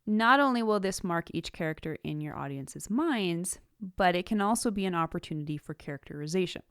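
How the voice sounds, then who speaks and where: Female podcast host, slightly low register, scripted teaching narration, dry close-miked sound. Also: expressive, brisk, a bit bright, clear, resonant tone, dry recording. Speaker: writing podcast host and author.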